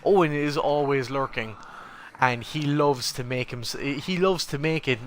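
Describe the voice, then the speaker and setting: A man's voice: medium pitch, unhurried, slight background noise. An Irish man from Cork chatting casually on a live stream, swearing freely and laughing often. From Ireland. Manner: relaxed, profane, laughs often. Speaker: gaming streamer.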